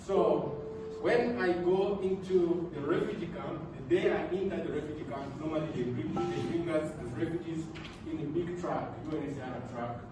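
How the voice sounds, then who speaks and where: Man telling his story, echoey room. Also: fairly high pitch. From Democratic Republic of the Congo. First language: Swahili and French. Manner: earnest, halting, repeats words while searching. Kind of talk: personal storytelling talk with slides. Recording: echoey room, mic far off, loud music behind.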